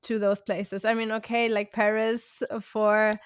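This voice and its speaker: A woman's voice: mid-range voice, level tone, a little slow, clean tone, no room sound, studio quality. A woman with German as her first language hosts a podcast interview in a casual, chatty way, often stalling with fillers and restarting her questions. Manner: hesitant, with many fillers and restarts. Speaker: podcast host.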